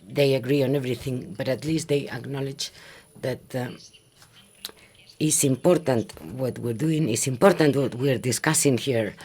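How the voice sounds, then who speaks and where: A man chairing a formal meeting in a conference hall, speaking in a measured, halting way with frequent fillers. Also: medium pitch, a little mellow, a little hiss or hum.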